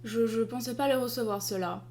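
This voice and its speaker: A woman's voice: a little high, brisk, slight background noise. Exasperated young Frenchwoman chatting. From France. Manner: exasperated, lively storytelling with frequent fillers. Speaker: artist and video creator. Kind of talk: casual chat to the camera.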